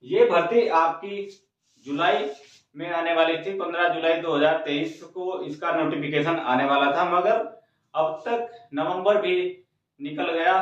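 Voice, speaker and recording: A man presenting an informal recruitment news update, explaining things step by step and speaking directly to viewers. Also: on the high side. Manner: explanatory, repeats points, addresses viewers directly. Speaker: host of a small exam-news channel. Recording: very echoey room, distant mic, dull sound.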